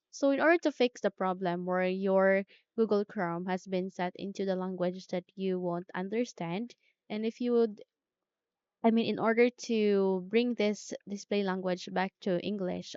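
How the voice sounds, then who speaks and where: A woman hosting a software tutorial, talking through each step in a repetitive, instructive way and checking in with "okay?". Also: mid-range voice, smooth, dry recording, dull audio. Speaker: tech tutorial host. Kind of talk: screen-recorded software tutorial.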